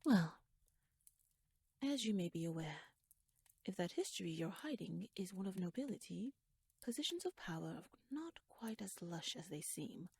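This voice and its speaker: A woman's voice: lowish voice, gravelly, a little mellow, breathy edge, no echo, heavily acted. A woman playing a shrewd, talkative royal schemer in an ASMR audio roleplay, speaking in wordy, formal, playfully wry musings addressed to the listener. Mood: musing, confiding.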